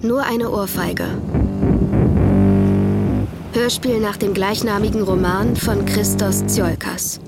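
Slow woman announcer over loud music. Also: mid-range voice, fairly even intonation. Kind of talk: scripted credit announcement.

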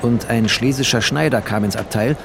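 A man's voice: medium pitch, normal speed, gravelly, background noise, natural voice. An actor playing a bookish, sardonic resettler who narrates his journey in a radio play with wry, clipped, vivid phrasing. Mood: wry recollection. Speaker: narrator and protagonist.

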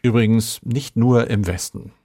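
A man's voice: medium pitch, measured pace, a loud crowd. A man hosting a podcast, reading scripted moderation. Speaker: podcast host.

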